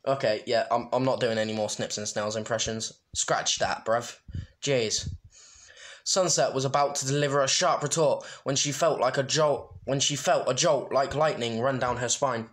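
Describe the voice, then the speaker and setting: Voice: mid-range voice, fairly even intonation, moderate pace. An amateur reader narrating a story and breaking into informal, self-deprecating asides. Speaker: amateur fan fiction reader.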